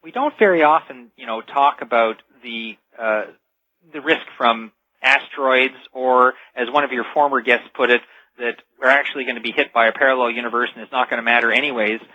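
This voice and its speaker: A man's voice: mid-range voice, steady tone, fairly quick, bright, forceful tone. A man speaking as an expert guest in a radio interview, explaining in a conversational way. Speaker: talk radio guest, futurist. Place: radio call-in show. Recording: dull lo-fi radio broadcast.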